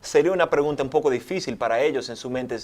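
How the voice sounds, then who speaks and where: A man's voice: medium pitch, fast talker, a little mellow. New York-accented man reading scripture. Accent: American English (New York). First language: English. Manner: reads scripture aloud, short agreeing replies. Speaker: co-host, scripture reader. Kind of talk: Bible study discussion.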